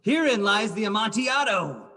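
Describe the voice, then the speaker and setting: An actor playing a coldly vengeful aristocratic murderer in a gothic horror drama, speaking with formal, mocking, deliberate courtesy. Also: male voice, high voice, sing-song, sharp tone, full character voice. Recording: long hollow reverb.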